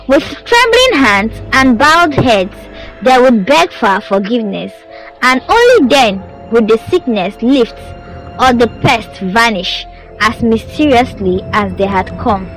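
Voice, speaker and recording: A woman's voice: fairly high pitch, low-quality recording. A woman narrating a scripted folktale as a storyteller, with a dramatic, suspenseful delivery. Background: music under the voice.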